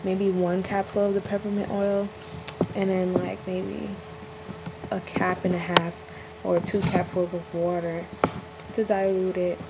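Young woman vlogging over loud hum. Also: fairly low pitch, completely flat delivery, unhurried. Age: young adult. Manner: chatty, lots of ums and likes. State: slightly hoarse. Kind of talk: casual vlog chat. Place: bedroom at home, indoors. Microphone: webcam built-in mic, close.

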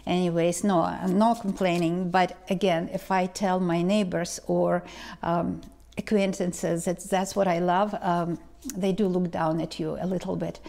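A woman, talking slowly to camera as a tarot reader, pausing and restarting often. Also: on the low side, little pitch movement, gravelly, dark tone. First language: Ukrainian or Russian. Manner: slow, halting, many restarts and ums. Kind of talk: casual vlog talk to camera. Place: living room indoors. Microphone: lapel mic.

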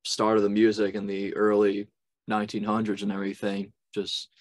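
Young man, lowish voice. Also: monotone, moderate pace, no echo. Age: 20s. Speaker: webcast host, interviewer. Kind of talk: casual interview chat. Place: plain indoor room. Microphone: video call.

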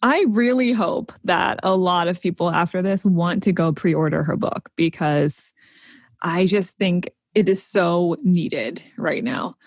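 A woman's voice: lowish voice, a little slow, no room sound, clean studio sound. A woman co-hosting a podcast, asking encouraging questions in casual chat. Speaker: podcast co-host.

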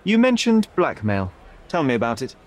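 An actor playing a stern young detective in a video game, cold and righteous, speaking in a clipped, accusatory, sardonic manner. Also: male voice, mid-range voice, huge pitch swings, moderate pace. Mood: curt, questioning. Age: young adult. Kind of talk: video game cutscene acting.

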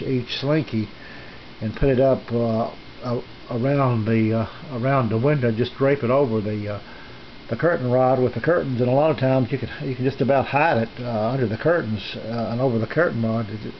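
A man narrates a casual tour of his hobby gear in a halting, hesitant, folksy ramble. His fairly middle-range voice is caught on a camera's built-in mic in a small room. The sound is dull and lo-fi, with some faint noise behind him. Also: mid-range voice. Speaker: amateur radio hobbyist presenting his equipment. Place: small indoor home radio room. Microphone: handheld camera's built-in mic, behind the lens.

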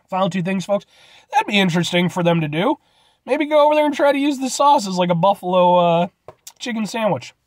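A man's voice: high voice, no room sound. A man in his thirties, a little stuffed up from a cold, rambling through a casual food review vlog from his parked car, talking into a phone held at arm's length. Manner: rambling, animated, gestures a lot. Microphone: phone camera at arm's length.

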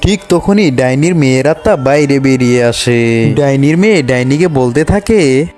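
A man's voice: mid-range voice, lively intonation, moderate pace, a little mellow. A man narrating a scripted children's cartoon story. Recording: music behind the voice.

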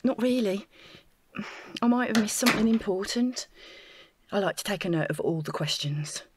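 A woman's voice: mid-range voice, animated, normal speed, background noise, close to an everyday voice. A woman playing an anxious, apologetic, reluctant accomplice in an audio drama, hesitant and unsure. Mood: nervous, apologetic.

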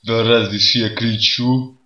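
A man playing a dour, contrary nihilist guest in a comedy radio sketch, sardonic and deadpan. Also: medium pitch, unhurried. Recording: roomy, dull lo-fi sound.

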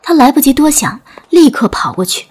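A woman's voice: sits high, big pitch swings, a little fast, darkish tone. A woman narrating a scripted dramatic audiobook story. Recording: music bed under narration.

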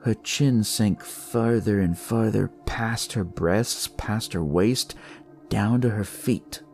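A man narrating dramatic noir horror in the first person, with a deliberate, brooding delivery. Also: on the low side, darkish tone. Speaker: storyteller reading in first person as a detective. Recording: music behind the voice.

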